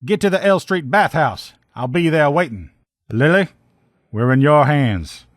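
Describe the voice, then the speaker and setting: Male actor as video game army lieutenant, protective comrade, blunt salty slang, fairly high voice, very dry close sound. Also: expressive, measured pace, dry recording. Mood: briefing, commanding. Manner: blunt, profane soldierly banter with folksy slang. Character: gruff, loyal military lieutenant in power armor, protective comrade. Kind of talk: video game character dialogue lines.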